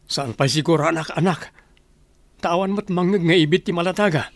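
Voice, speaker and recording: A man playing an anxious, bossy expectant father and master of the house in a radio drama, brisk and urgent. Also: on the high side, no room sound, lightly acted.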